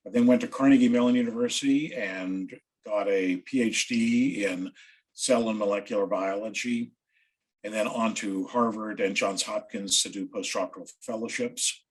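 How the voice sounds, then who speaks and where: A man from West Virginia gives his career history in a steady, orderly way. His voice is low and husky. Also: moderate pace, poor audio. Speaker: guest, science writer.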